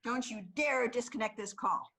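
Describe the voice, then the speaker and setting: A woman's voice: fairly high pitch, moderate pace, sharp tone. A woman playing an overwrought, melodramatic goddess fed up with her friends in a comedy screenplay reading. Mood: exasperated. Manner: melodramatic, exasperated. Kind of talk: comedy screenplay table read. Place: online video call reading.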